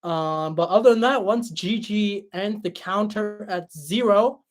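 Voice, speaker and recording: A young man hosting a livestream and answering chat in a relaxed, chatty way, his voice tired after hours of talking. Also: sits high, measured pace, faint music behind. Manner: relaxed, chatty, many fillers and restarts. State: voice tired after a long stream. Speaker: livestream host reading chat.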